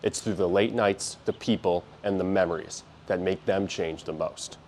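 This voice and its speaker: A man's voice: low-pitched, normal speed, a little mellow. A young man narrating scripted news packages as a student TV reporter. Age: young adult.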